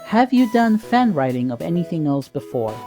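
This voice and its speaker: A man co-hosting a podcast, speaking casually in a measured, explanatory way as he analyses and asks questions. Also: on the high side, sing-song, warm tone, soft background music. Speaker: podcast co-host.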